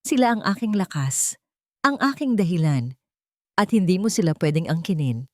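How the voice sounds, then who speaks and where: A woman's voice: lowish voice, expressive, moderate pace, no echo, clean studio sound, lightly acted. A woman playing a proud, wounded single mother in a romance audio drama, firm, cold and defiant.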